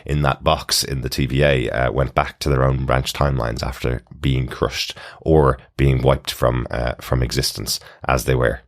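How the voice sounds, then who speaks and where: A man with an extremely deep voice hosts a podcast. He talks in a casual, conversational style, recapping television in an easy back-and-forth manner. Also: level tone, normal speed, few pauses. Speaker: podcast host. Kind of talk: casual chat, TV episode recap.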